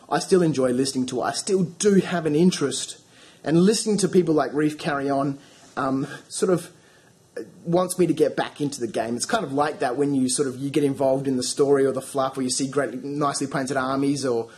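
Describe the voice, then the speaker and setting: Man, croaky from a cold, mellow dark tone, husky edge, rambling casual hobby talk. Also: medium pitch. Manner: rambling, many fillers and restarts. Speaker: hobby channel host. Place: home hobby studio.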